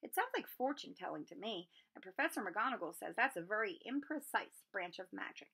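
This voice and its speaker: A woman voicing a worried but sensible young witch girl in a children's storybook, reasoning briskly and reassuringly. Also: medium pitch, animated, bright, forceful tone, slightly rough, heavily acted. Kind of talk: children's storybook read-aloud.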